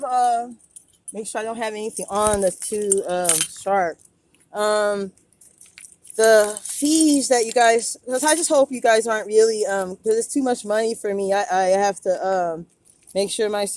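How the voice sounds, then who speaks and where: A woman's voice: medium pitch, measured pace, a little mellow. A woman talking from inside her car into a phone recording, anxious and rambling, stammering and repeating herself deferentially. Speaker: driver recording a police stop.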